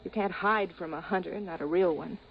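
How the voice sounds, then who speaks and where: A woman playing a sad, fatalistically calm young woman in a 1950s radio drama, weary and candid. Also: on the low side, brisk, gravelly. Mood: resigned, candid. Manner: weary, candid, matter-of-fact. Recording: muffled, hissy old radio broadcast.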